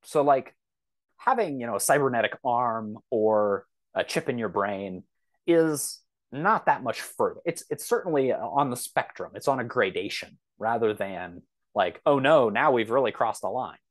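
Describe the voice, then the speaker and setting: A man in a casual discussion as a podcast guest, speaking in a halting, enthusiastic way as he thinks aloud. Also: mid-range voice, expressive.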